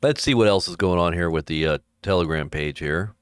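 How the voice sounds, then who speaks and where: Low, smooth-voiced man doing dry commentary. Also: brisk, no echo. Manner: reads headlines aloud, then adds wry asides and chuckles. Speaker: host of an online ministry live stream. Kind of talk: casual live-stream commentary reading news headlines.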